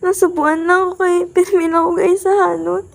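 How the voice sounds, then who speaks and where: A woman's voice: extremely high pitch, level tone, a little fast, creaky, slight background noise. A young woman playing a lonely, lovesick enchanted spirit in an amateur radio drama. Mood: wistful. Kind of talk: amateur radio drama acting in Filipino regional language.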